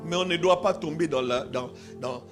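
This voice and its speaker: A man with a Congolese French accent preaching a sermon in emphatic short phrases, pausing for his interpreter. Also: on the high side, loud music behind the voice. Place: church in Kinshasa.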